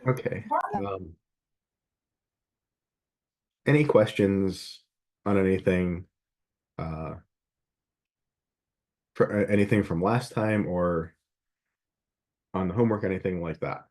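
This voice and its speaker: Deep-voiced male math instructor, slightly congested. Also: takes it slowly, pauses often, a little mellow. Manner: rambling, conversational, many fillers. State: slight head cold, a bit sniffly and scratchy. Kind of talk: classroom lecture.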